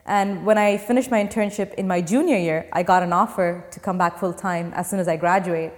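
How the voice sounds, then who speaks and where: A woman's voice: lowish voice, brisk. Young woman founder in a reverberant space. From Dubai. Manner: earnest, explains step by step. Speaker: startup founder. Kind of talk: interview answers. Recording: long reverb.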